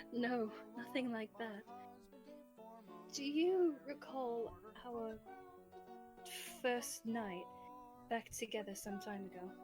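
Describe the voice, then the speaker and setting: A woman acting a loving, gentle young bride in a romantic roleplay, with coy, formal old-fashioned phrasing. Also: a little high, takes it slowly, long pauses, music in the background. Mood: coy, happy.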